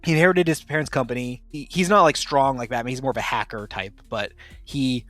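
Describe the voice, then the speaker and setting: A casual game master, a man with a middle-pitched voice who explains the scene at length and keeps circling back with fillers and restarts. His voice sounds dry and close, with faint music under it. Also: fairly quick, fairly bright tone, no echo. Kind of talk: casual tabletop game narration.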